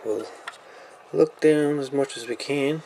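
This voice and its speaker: A man's voice: mid-range voice, slow, dark tone, a little hiss or hum, rough recording. A man casually walking through a radio gadget's insides at a workshop repair bench, laid-back and thinking aloud with mumbled asides. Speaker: radio hobbyist reviewer. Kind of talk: casual equipment teardown walkthrough.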